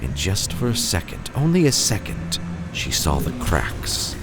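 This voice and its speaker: A man hosting and narrating a horror story in a theatrical, suspenseful style, with playful asides and dramatic pauses. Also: low-pitched, wildly swooping pitch, loud music behind the voice.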